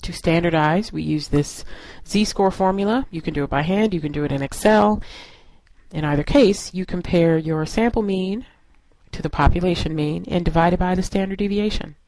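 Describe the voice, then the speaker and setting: A woman's voice: lowish voice, moderate pace, a little mellow, no room sound, rough recording. A woman instructor narrating a lesson in an explanatory, step-by-step way. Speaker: statistics instructor.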